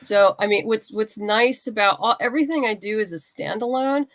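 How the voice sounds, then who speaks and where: A woman's voice: mid-range voice, normal speed, a bit bright, no echo. A woman speaking conversationally as an interview guest, explaining her own creative work as a writer.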